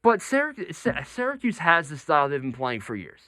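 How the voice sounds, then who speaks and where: A man's voice: on the high side, huge pitch swings, normal speed, pushed and bright, no room sound, clean studio sound. A man hosting a sports talk show, debating basketball in an insistent, argumentative way.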